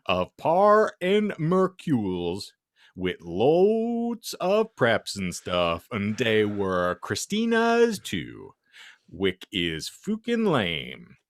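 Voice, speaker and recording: A man reads bad fiction aloud as a comedy host. His delivery is theatrical and mock-grave, taking its time and leaping all over in pitch. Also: dry recording, studio quality. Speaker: podcast host reading fan fiction aloud. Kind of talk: comedic dramatic reading of garbled fan fiction.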